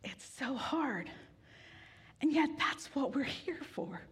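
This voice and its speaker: A woman pastor giving a sermon in a relaxed, conversational way with gentle humor, weary from grief. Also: sits high, slow pace. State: grieving, tired. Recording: long hall reverb.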